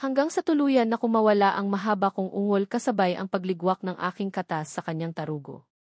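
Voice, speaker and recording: A woman's voice: mid-range voice, a little fast, a little mellow, dry recording, studio quality. A woman with a Filipino accent reading a scripted first-person story as the narrator of an audio novel.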